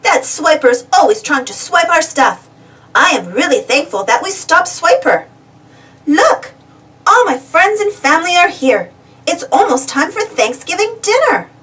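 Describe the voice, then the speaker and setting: A woman's voice: extremely high pitch, very animated, unhurried, fairly bright tone, far from the mic. A woman reading a storybook aloud to young children, expressively and addressing the listeners directly. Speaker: storybook reader.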